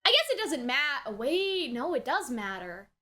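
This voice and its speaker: A woman's voice: high-pitched, very animated, measured pace, sharp tone. A woman in her early twenties giving casual, chatty, emphatic commentary to viewers as a review host, often checking in with the listener. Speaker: anime reaction and review host.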